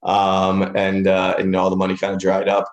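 A man's voice: low-pitched, monotone, moderate pace. A man in his thirties, originally from Israel, talking casually as an interview guest with many fillers and restarts. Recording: roomy echoey room.

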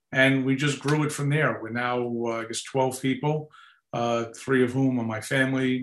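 Older New York man, roomy sound. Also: mid-range voice. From New York City. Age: 60s. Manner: rambling, relaxed, many fillers and restarts. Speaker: guest, company founder. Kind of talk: casual interview chat.

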